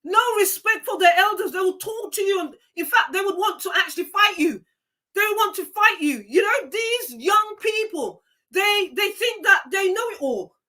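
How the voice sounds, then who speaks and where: Bright, emphatic woman host. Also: very high voice, expressive, moderate pace. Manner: emphatic and preachy, repeats key phrases. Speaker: live show host. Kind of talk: live-stream motivational talk, reading viewer comments.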